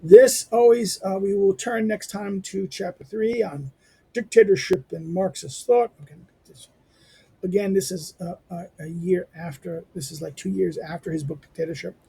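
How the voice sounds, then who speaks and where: A hoarse man winds down a reading lecture in a loose, rambling way, stumbling over fillers and restarting his sentences. His voice sits high for a man, with a rough, gravelly edge and a dark, mellow tone. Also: steady tone, normal speed, low-quality recording. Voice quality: squeaky. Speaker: lecturer and channel host. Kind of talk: informal spoken outro to a reading lecture.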